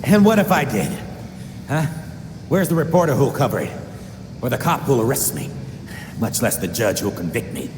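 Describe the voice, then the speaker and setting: An actor playing an arrogant, corrupt middle-aged villain in a video game, pompous and mocking. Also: male voice, medium pitch, sing-song, moderate pace, long pauses, lightly acted. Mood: smug. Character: arrogant, corrupt high-society crime lord, taunting and defiant. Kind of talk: dramatic video game acting. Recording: echoey, reverberant game audio with noise.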